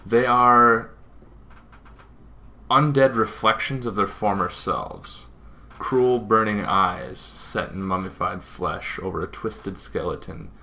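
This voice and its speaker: A man's voice: on the low side, slow pace, sharp tone. A man hosting an informal explainer, talking in a rambling way with asides and self-corrections. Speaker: host of a tabletop gaming show.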